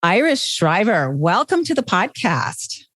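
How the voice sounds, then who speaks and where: A woman's voice: medium pitch, sing-song, unhurried, a bit bright. A woman hosting a podcast, reading scripted introductions and putting questions to a guest. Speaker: podcast host.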